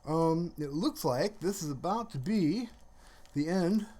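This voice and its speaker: Slow-talking man on a casual livestream. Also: a little high, animated, mellow.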